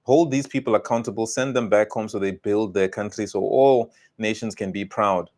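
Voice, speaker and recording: A man's voice: on the low side, normal speed, a little mellow. A South African man delivering heated political commentary, scolding and repeating blunt warnings to his viewers. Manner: emphatic, scolding, repetitive warnings.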